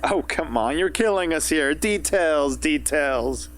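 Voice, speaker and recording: A man's voice: fairly high pitch, lively intonation, slow pace. A man playing a showy, jovial master of ceremonies in a radio drama, with theatrical announcer delivery. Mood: showy, coaxing. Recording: loud crowd and background noise.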